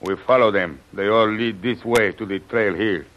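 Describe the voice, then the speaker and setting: A man's voice: fairly low pitch, normal speed, close to an everyday voice. An actor playing an eager male hunter who speaks in broken English, in a dull old radio recording. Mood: urgent.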